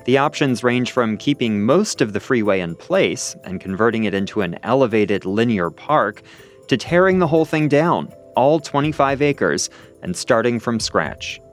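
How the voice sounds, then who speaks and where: A man's voice: mid-range voice, sing-song, normal speed, soft background music. A male reporter narrating a scripted radio feature, partly recorded outdoors in a city park.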